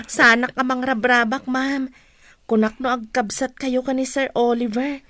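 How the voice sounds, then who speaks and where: A woman's voice: high voice, fairly even intonation, measured pace, fairly bright tone, dry recording. An actress playing a polite, naive young country woman in an Ilocano radio drama. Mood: polite, cheerful.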